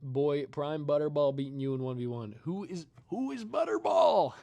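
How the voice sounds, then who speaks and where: A young man chatting casually with his chat while playing a video game. His voice is getting tired, and he rambles, trails off into quiet mumbles, then comes back in short louder bursts. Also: fairly high pitch, lively intonation, moderate pace, creaky, no echo. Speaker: streamer reading chat.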